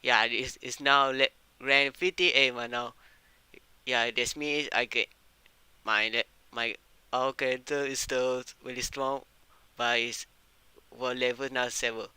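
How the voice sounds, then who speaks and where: A male game streamer speaking halting non-native English in casual live commentary over a windy, uncovered microphone. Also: medium pitch, little pitch movement, bright, forceful tone, smooth, no echo. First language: not English. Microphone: microphone without its cover.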